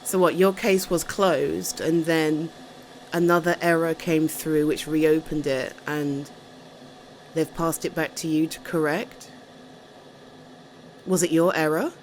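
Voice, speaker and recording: Soothing, lower-voiced British girlfriend, acted by a woman. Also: fairly even intonation, takes it slowly, pauses often, a little hiss or hum, poor audio. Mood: sympathetic, supportive. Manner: soothing, chatty, hesitant, trailing speech. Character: caring, tired British girlfriend home from office. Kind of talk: intimate ASMR girlfriend roleplay.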